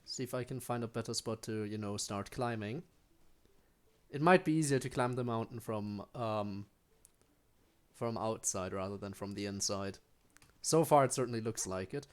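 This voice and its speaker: A man's voice: fairly low pitch, moderate pace. German-accented man chatting on stream. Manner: chatty, answers chat, frequent ums and restarts. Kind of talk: casual live-stream chat while gaming.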